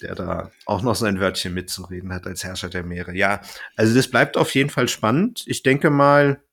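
A man's voice: sits low, moderate pace, gravelly, a bit bright. A man chatting casually as a podcast host, thinking aloud and often rephrasing mid-sentence.

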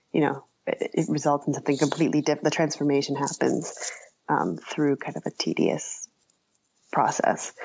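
A woman writer being interviewed, talking casually and haltingly, thinking aloud and breaking off into asides. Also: rumbling low, vocal fry, slightly rough, highs cut off. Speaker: interview guest, nonfiction writer.

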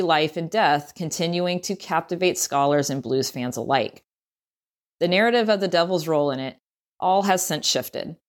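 Low-voiced woman narrating a travel story. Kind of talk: scripted narration.

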